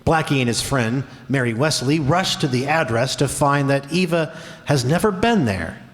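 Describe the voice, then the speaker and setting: A man's voice: medium pitch, lively intonation, normal speed. Male radio drama announcer in echoey hall. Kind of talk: scripted radio drama narration.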